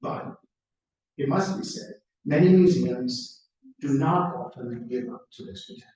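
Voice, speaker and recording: A middle-aged American man giving a prepared conference talk, measured and orderly, often speaking in lists. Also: medium pitch, dark tone. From United States. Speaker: plenary speaker. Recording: echoey room, voice from far off.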